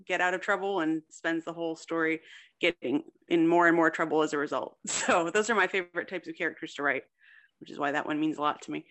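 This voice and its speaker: Bright-voiced woman, chatty and self-deprecating. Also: lowish voice. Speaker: guest, fiction writer and contest winner. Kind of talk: casual interview chat.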